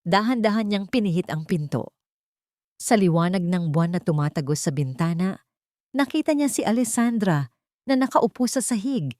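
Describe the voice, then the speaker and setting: A woman narrating a scripted dramatic love story as a storyteller, in measured, short dramatic phrases. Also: mid-range voice, expressive, darkish tone, no echo, clean studio sound.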